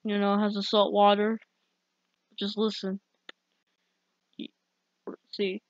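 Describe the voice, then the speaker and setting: Slow-talking woman, dull dry recording. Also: medium pitch, monotone, dry recording. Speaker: video maker. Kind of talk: casual screen-recording commentary. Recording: dull, muffled home recording.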